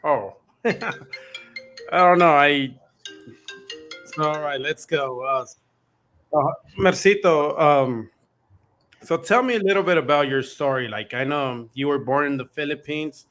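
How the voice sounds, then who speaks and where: A man's voice: fairly high pitch, a little slow, long pauses, fairly bright tone, faint music behind. A man working as a co-host, asking casual interview questions in loose, informal phrasing.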